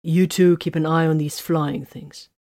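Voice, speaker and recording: A woman's voice: low-pitched, level tone, unhurried, darkish tone, no room sound, studio quality. Stern battered sergeant, read by a woman. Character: stern battered male space marine sergeant.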